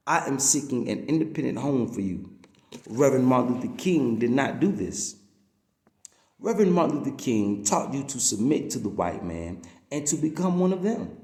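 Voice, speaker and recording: A man's voice: mid-range voice, creaky, dark tone, roomy sound. A man reading a written message aloud in an emphatic, preaching way, drawing out the words he stresses. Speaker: reader reading a text aloud. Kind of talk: read-aloud of a religious-political message, preaching delivery.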